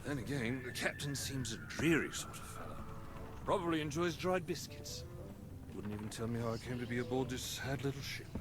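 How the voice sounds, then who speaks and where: A man's voice: mid-range voice, fairly quick, natural voice. A man playing a witty, roguish pirate captain in a video game, with sly, flowery, theatrical phrasing. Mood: wry, musing. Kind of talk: video game character acting. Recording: game music behind the voice.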